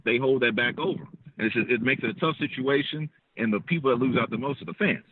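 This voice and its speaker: A man calling in by phone while out on a walk outdoors, talking quickly in a casual, analytical way. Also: medium pitch, husky, dry recording. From United States. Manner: fast, conversational, analytical. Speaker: caller. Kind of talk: phone call-in. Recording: tinny dry phone line.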